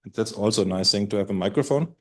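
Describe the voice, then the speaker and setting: A man with a German accent, leading a live workshop and speaking loosely with many pauses and fillers. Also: fairly low pitch. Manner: loose, thinking aloud with fillers. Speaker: workshop course leader. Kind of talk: relaxed spoken introduction to a live workshop. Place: conference room.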